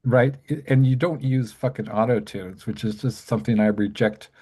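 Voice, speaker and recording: A middle-aged man hosting an interview show, talking in a rambling, halting way with many restarts and fillers. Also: medium pitch, fairly even intonation, mellow, slightly rough. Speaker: podcast host and music journalist. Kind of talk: casual interview.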